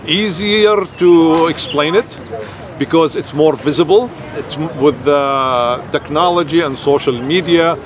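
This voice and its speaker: A man from Palestine, an activist, speaking earnestly in a street interview at an outdoor rally. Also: fairly high pitch. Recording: outdoor recording with loud crowd.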